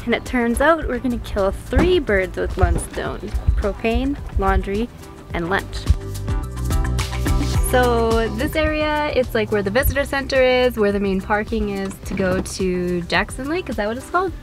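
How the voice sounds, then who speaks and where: A woman's voice: fairly high pitch, animated, a little slow, music in the background. A woman in her twenties chatting casually to a camera as a travel vlogger. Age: 20s. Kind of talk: casual vlog chat to camera. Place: inside a truck and outdoors at a campground. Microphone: camera held at arm's length.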